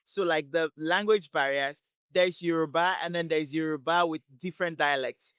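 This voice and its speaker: A woman from Lagos, speaking as a guest in a relaxed interview. Her voice is smooth and clear, and the recording is close and dry. She talks thoughtfully, pausing now and then to find the right words. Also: low-pitched, no room sound. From Lagos, Nigeria. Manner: thoughtful, unhurried, pauses to search for words. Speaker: guest, community relations worker. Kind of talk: casual interview chat.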